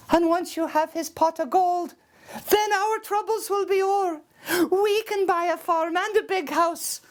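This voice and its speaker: A woman's voice: very high voice, voice pushed up. A woman voicing a dreamy widowed mother with an airy voice, in a storybook reading.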